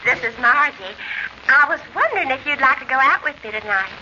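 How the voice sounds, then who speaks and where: A coy young phone date. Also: female voice, high-pitched, lively intonation, fairly quick, pushed and bright, exaggerated voice. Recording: muffled old radio broadcast, faint hiss.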